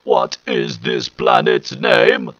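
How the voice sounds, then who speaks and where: A man's voice: high voice, takes it slowly, vocal fry, fairly bright tone, no echo, muffled recording, pitched above the performer's own voice, exaggerated voice. A man voicing a harsh, hysterical alien war machine in a storybook reading. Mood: demanding. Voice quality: harsh, grating.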